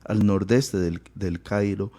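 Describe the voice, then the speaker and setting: A man taking part in a radio Bible discussion as a pastor, speaking slowly and carefully and often giving short assents. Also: fairly low pitch, fairly even intonation, dark tone. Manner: slow, measured, with frequent short assents. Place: radio studio.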